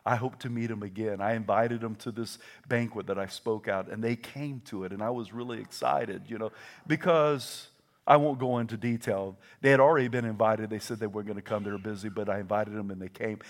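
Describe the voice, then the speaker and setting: A middle-aged Southern American preacher delivering a sermon. He drops into soft, hushed asides, then climbs into loud, shouted declarations. He tells stories and acts out what other people said. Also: on the low side, brisk. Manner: animated storytelling with quoted voices.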